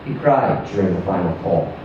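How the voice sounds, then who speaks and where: A man reading a literary short story aloud in a theatrical, emphatic manner, with deliberate pauses and swells. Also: sits low, expressive, dark tone, dull audio. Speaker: story reader. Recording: distant echoey room with faint noise.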